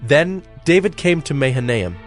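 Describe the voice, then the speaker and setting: Measured male scripture narrator over soft music. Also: a little high, sing-song.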